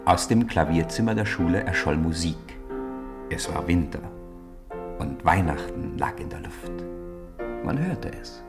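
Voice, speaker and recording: Deep-voiced male radio storyteller. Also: expressive, a little slow, vocal fry, pauses often, loud music behind the voice. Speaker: narrator. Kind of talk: scripted storytelling narration for children, 1950s radio play.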